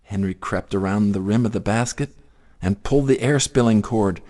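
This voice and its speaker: A man narrating a children's story in an expressive, theatrical way. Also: fairly low pitch, normal speed. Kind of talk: dramatic children's story reading.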